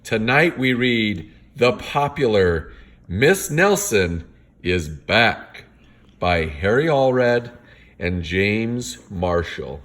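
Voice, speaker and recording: A man with a fairly low voice reads a children's picture book like a playful dad. His pitch swings widely as he delivers it in a theatrical, announcer-like way. He slips in casual asides to the kids. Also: sing-song, very slow, fairly bright tone.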